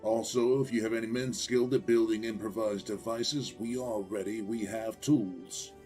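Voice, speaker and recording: A man's voice: on the low side, steady tone, normal speed, a little mellow, lightly acted. A man playing a huge, proud farmer-mayor in an audio drama, speaking bluntly and emphatically with defiant conviction. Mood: blunt, businesslike. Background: faint background music.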